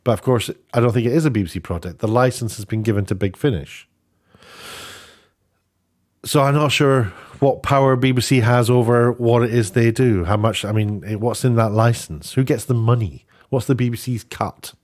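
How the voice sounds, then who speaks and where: A man's voice: mid-range voice, normal speed, a little mellow. A man presenting an online video, giving off-the-cuff, opinionated news commentary in a rambling way, often rephrasing himself.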